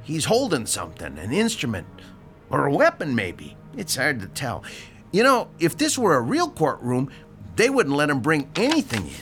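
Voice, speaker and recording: A man's voice: on the high side, huge pitch swings, normal speed, a bit bright, faint music behind, heavily acted. An actor playing a grouchy, sarcastic male landlord who grumbles and talks bluntly, in an audio drama. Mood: musing.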